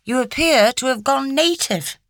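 A woman's voice: fairly high pitch, very animated, moderate pace, vocal fry, a bit bright, no echo, voice pushed up, heavily acted. Casting: genial male doctor acted by a woman, inquisitive and playful, mock-serious, close dry audiobook sound.

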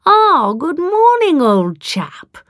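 A woman voicing a dapper male alien who talks in affected old-chap gentlemanly banter, condescending, in an audiobook story. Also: very high voice, wildly swooping pitch, slow, dry recording, clean studio sound, voice pushed up, exaggerated voice. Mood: jaunty.

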